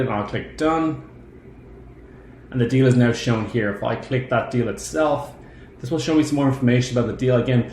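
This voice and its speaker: A man in his thirties presenting a software tutorial, explaining each step in turn as he shares his screen. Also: medium pitch. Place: indoor room. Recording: roomy echo, faint background noise.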